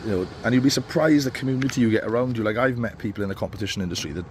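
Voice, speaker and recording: A man's voice: medium pitch, very fast. A man with a cold, giving casual, rambling advice to barbers from a beach. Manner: rambling, with many fillers and restarts. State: stuffy with a cold. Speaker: barber coach. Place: outdoors on a beach. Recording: faint outdoor noise.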